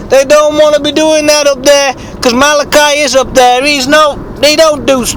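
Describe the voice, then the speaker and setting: Man putting on a high-pitched husky bigfoot hunter. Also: a bit bright, a little hiss or hum, poor audio. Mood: rambling, scornful. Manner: halting, repetitive, sweary, rambling. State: sore throat, coughing. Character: eccentric rustic foul-mouthed bigfoot hunter telling his tale. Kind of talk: rambling mock-documentary storytelling.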